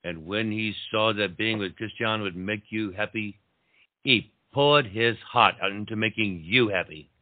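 A man playing an earnest gentleman officer who speaks deliberately and declaims in a period stage drama. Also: on the low side, dry recording, narrow, tinny audio, natural voice. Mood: earnest, pleading. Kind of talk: stage comedy acting.

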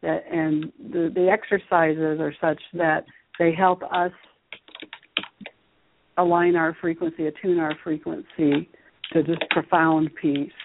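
A woman speaking as a guest teacher and author in a radio interview, explaining things in a measured way. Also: low-pitched, little pitch movement, slight background noise. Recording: muffled phone-like radio line.

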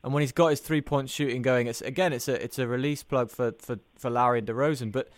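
A man's voice: medium pitch, fast talker, dry recording. Male podcast interviewer, mid-range voice, close and dry, stat-led sports questions. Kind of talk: interview questioning mixed with prepared sports commentary.

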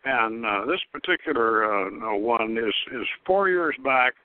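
A man's voice: medium pitch, animated. Older male talk-show host, phone-quality sound, rambling and hesitant, word repetitions, solo unscripted delivery. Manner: halting, rambling, repeats words and stalls often. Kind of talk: unscripted solo talk, recapping a story and announcing next episode. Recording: thin phone-line audio.

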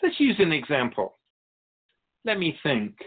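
A man playing a patient, wise, otherworldly Keeper of Souls who teaches in a measured, philosophical way in a radio drama. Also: a little high, huge pitch swings, phone-quality sound, heavily acted. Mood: patient, instructive. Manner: measured, philosophical, gently instructive. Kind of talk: scripted radio drama dialogue.

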